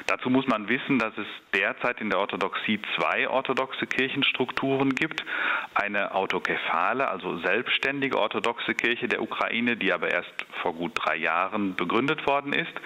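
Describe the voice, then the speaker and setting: A man, an expert on Orthodox churches, answering radio interview questions in a measured, hesitant way with many fillers. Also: fairly low pitch, sharp tone, low-quality recording.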